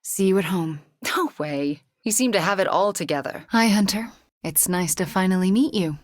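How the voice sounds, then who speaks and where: A woman voicing a young video game sorceress, guilt-ridden, wry and guarded, with an earnest, dry delivery that often drops into a hush. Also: mid-range voice, animated, moderate pace, dry recording, lightly acted. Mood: wry, conversational. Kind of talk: acted video game dialogue.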